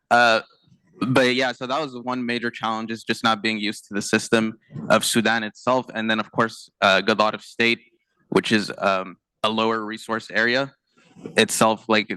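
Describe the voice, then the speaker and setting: A young man with a bright, smooth voice and low pitch, talking through his experience on a panel. He speaks casually, full of fillers. The sound is dry and close. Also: no room sound. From United States. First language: not Arabic. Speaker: panelist, internal medicine physician. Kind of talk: conference panel talk.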